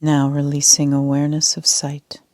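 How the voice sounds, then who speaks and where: Low soft woman doing yoga nidra, barely varies her tone, half whispering, calm and soothing. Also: moderate pace, no echo, clean studio sound. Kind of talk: guided meditation for sleep.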